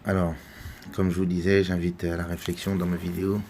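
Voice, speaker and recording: A man from France giving unscripted religious commentary, halting and full of repeated words and fillers. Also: deep voice, monotone, darkish tone, a little hiss or hum. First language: French. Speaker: religious video maker.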